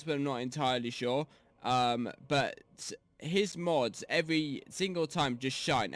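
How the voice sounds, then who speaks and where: A young man with a sore voice from a cold, reviewing a game mod in loose, rambling talk, a little softer than usual. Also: medium pitch, dry recording. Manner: rambling, many ums and restarts. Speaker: gaming YouTuber. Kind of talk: casual mod review commentary.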